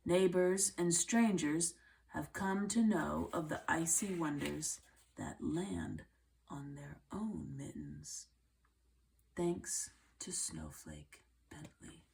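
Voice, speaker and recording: A woman reading a children's picture book aloud as narration, with measured, deliberate phrasing. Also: sits low, creaky, a little mellow. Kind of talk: scripted read-aloud narration.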